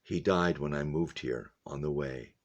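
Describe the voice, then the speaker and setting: A man reading the storybook lines of an earnest, shy young girl in a quiet, tentative way. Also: extremely low pitch, unhurried, lo-fi sound. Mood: quiet, troubled. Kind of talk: storybook read-aloud dialogue.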